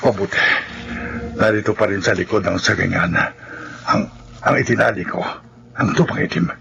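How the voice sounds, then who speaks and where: Horror radio role: secretive, short-tempered Filipino man, urgent delivery with a creaky edge, very noisy recording. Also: on the low side, normal speed, dull audio. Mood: urgent, grim. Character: secretive, irritable husband on a grim nighttime mission. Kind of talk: horror radio drama acting.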